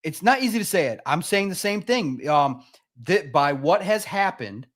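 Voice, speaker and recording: A man's voice: sits high, lively intonation, normal speed, a bit bright. Needling male sports pundit. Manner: argumentative, needling, emphatic. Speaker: guest pundit. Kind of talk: casual sports debate.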